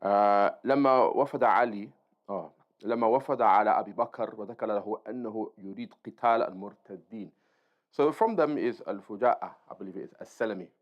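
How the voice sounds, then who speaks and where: A man's voice: on the low side, rapid-fire. A man teaching an informal Islamic lesson, reading aloud and explaining as he goes, checking in with his listeners. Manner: explanatory, checks in with listeners using short tags. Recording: dull, lo-fi sound.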